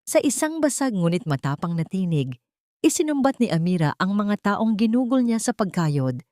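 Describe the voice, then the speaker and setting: A woman's voice: fairly low pitch, a little mellow, dry recording, studio quality. A woman narrating a scripted audio drama in a solemn, melodramatic storytelling manner.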